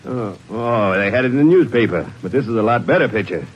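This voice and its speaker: Man as lively folksy gas station owner. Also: medium pitch, brisk, close to an everyday voice. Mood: friendly, curious. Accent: rural American West. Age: middle-aged. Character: folksy, inquisitive small-town gas station owner, father. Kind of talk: 1950s radio drama acting. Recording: hissy, muffled old radio recording.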